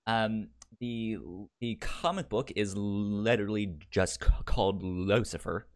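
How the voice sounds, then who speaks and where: A man co-hosting a podcast, talking casually and haltingly as he discusses a TV show. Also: lowish voice, clear, resonant tone. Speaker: podcast co-host. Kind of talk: casual conversational TV review.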